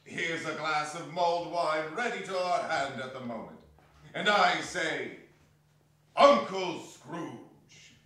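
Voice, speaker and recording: A man's voice: on the high side, slow, pushed and bright. Man playing merry young Victorian nephew, very echoey hall. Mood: jovial toasting. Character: Fred, Scrooge's jovial, merry, exasperated young nephew. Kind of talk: theatrical dramatic reading on stage. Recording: echoey hall, distant microphone.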